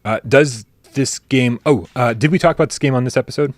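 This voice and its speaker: A man hosting a casual gaming livestream, talking in animated bursts, swearing casually and restarting his sentences. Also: medium pitch, a little fast, no echo. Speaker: podcast host.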